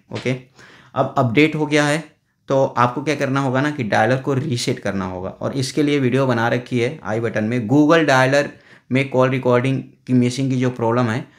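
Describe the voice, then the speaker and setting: A man hosting a casual tech tutorial, talking through phone settings step by step with a chatty, explaining manner. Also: mid-range voice. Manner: chatty, explains step by step with frequent "na" and "thik hai". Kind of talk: casual phone how-to voice-over. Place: indoors at a table, filming a phone on a stand.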